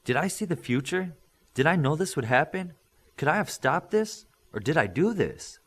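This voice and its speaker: Man reading as an anxious young boy, raised pitch. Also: big pitch swings, moderate pace, a bit bright, husky, exaggerated voice. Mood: worried. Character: earnest, anxious eleven-year-old boy. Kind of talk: audiobook character dialogue.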